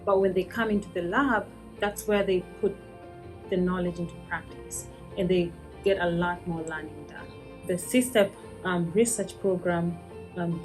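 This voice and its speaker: Low-voiced woman professor explaining research. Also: darkish tone. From Kenya. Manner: measured, explanatory, with frequent ums. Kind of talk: interview about research.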